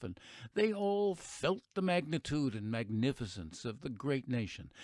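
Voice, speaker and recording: A man with an American accent playing an earnest, reflective radio narrator, delivering a scripted patriotic monologue in a measured, oratorical way. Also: medium pitch, a little mellow, dry recording, studio quality. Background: music behind the voice.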